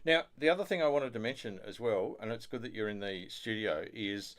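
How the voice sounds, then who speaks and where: A man's voice: lowish voice, fairly quick, a bit bright. A man hosting a casual studio chat, affirming and given to chuckling as he talks. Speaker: host of an amateur radio show. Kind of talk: casual chat about ham radio.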